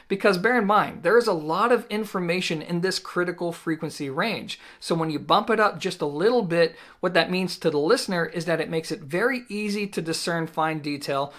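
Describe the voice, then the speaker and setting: A man in his thirties with a fairly high-pitched voice presents a gear review. He talks conversationally to camera as host, laying out his points in a measured, explanatory way. Key words get small, deliberate pauses. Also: a bit bright. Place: small indoor home listening room.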